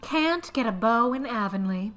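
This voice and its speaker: Older female village gossip: highish voice, blunt, scornful, emphatic, for audiobook drama over music. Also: animated, moderate pace, fairly bright tone. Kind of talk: dramatized audiobook character acting.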